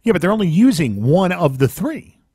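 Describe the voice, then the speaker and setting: A man's voice: high-pitched, sing-song, moderate pace, darkish tone, husky, dry recording, studio quality. A man co-hosting a game show podcast, opening with upbeat energy and chatting casually. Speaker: podcast co-host. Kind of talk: casual chat.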